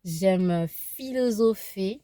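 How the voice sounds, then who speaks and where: A woman's voice: mid-range voice, takes it slowly, dark tone. A woman in her thirties from eastern Congo, whose first language is Kibembe, talking casually to camera from inside her car, repeating herself and restarting with many fillers. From eastern Congo (DRC). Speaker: vlogger. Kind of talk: casual opinion vlog.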